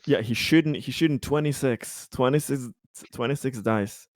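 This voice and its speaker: A man's voice: medium pitch, moderate pace, no room sound, clean studio sound. A man bantering casually as a player in a tabletop roleplaying game. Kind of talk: casual chat.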